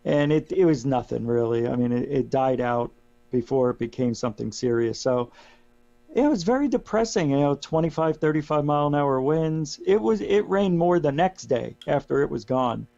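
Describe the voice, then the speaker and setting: A middle-aged man, hoarse and losing his voice, chats as a guest on a radio show and rambles with many restarts. His voice sits a touch high, with a gravelly, mellow tone. He comes through a dull, lo-fi line with a steady mains hum underneath. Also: moderate pace. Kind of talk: casual radio chat.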